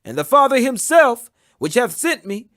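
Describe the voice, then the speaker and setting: A man giving a solemn, emphatic and measured dramatic reading of scripture as an audiobook. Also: very high-pitched, sing-song, fairly bright tone, no room sound, clean studio sound. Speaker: Bible reader.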